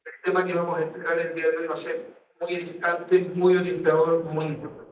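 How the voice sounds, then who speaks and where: Man from chile, guest evangelist, solemn measured prayer voice, higher pitch, echoey room, far from mic. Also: monotone. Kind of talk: radio guest talk and spoken prayer. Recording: roomy echo, voice distant from mic.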